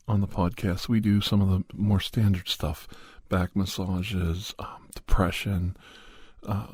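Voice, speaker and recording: A man hosting an ASMR podcast in a soft, breathy, husky voice with a mild head cold, chatting casually close to the microphone. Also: low voice, no echo. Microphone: studio condenser microphone, close.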